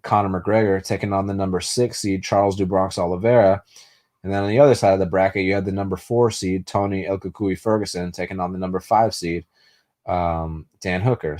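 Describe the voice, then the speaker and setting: A man's voice: low voice, darkish tone. A young man hosting a casual live stream, answering viewer comments in a loose, profane way full of fillers and restarts. He grew up speaking Spanish first and was raised in the United States. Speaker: host.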